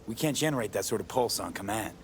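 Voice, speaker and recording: A wary, practical mercenary. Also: male voice, on the low side, expressive, fast, slight background noise. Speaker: game protagonist. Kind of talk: video game dialogue.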